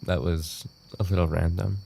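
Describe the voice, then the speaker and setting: A man playing an obsessive, unstable yandere roommate in an audio roleplay, with an intimate, halting delivery. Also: flat delivery, dark tone, full character voice. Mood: wistful, tender. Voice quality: low, gravelly. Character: obsessive male yandere roommate, soft-spoken, darkly devoted, unstable. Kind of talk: boyfriend ASMR audio roleplay.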